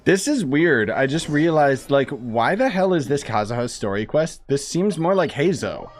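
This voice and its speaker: A young male streamer with a fairly high voice. He comments on his playthrough in a casual, conversational way, joking and freely giving opinions. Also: very animated, normal speed. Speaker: gaming streamer.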